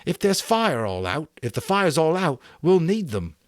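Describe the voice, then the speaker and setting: A man voicing frightened, desperate young boys in an audiobook reading, raising his voice to high, strained shouts. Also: very animated, moderate pace, dry recording, studio quality. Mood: desperate shouting.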